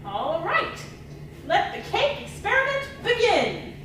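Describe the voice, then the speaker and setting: A woman voicing a curious, excitable old scientist puppet, musing aloud and repeating words in a children's puppet show. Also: very high-pitched, slow pace, exaggerated voice. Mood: eager. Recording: echoey room, distant mic, noisy.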